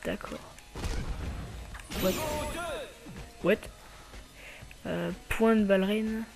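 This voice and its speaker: A man's voice: sits high, steady tone, creaky, music in the background. A man with a slight cold giving casual, hesitant commentary over his own gameplay as channel host. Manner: hesitant, many filler pauses.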